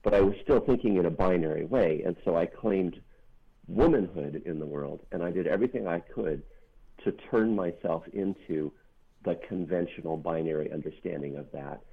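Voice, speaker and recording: A middle-aged non-binary author with a deep bass voice, speaking thoughtfully and earnestly in an interview, pausing on ums and restarting sentences. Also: creaky, slightly rough. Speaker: author guest. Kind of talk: reflective interview talk. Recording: narrow phone-line sound.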